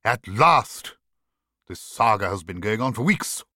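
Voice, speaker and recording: A man's voice: on the low side, expressive, measured pace, pushed and bright, no room sound, clean studio sound, full character voice. A man playing a weary, dismissive headmaster in a children's audiobook, with clipped, fussy authority. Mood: relieved, weary. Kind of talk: children's audiobook character acting.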